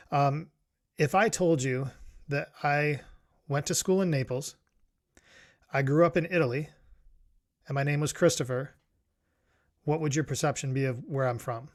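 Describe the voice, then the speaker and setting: A middle-aged man from New York giving a reflective solo talk as a podcast host, rambling with many restarts and fillers. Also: medium pitch. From New York, USA.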